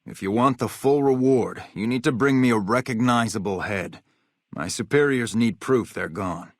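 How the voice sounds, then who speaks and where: An actor playing a hard-bitten, vengeful military officer in a video game, blunt, profane and matter-of-fact. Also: male voice, medium pitch, moderate pace, no room sound, clean studio sound, lightly acted. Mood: stern, businesslike. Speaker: game character, army officer.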